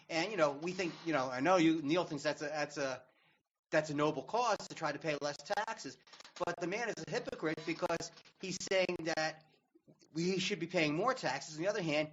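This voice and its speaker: This is a man with a somewhat high voice on a dull-sounding radio stream. He talks politics as a panelist, argumentative and sarcastic, pressing his opinions in a conversational way. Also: a bit bright. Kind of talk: opinionated talk radio discussion.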